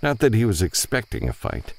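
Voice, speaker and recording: A man narrating a science fiction novel as an audiobook, reading scripted prose in a gravelly voice. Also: lowish voice, huge pitch swings, fairly quick.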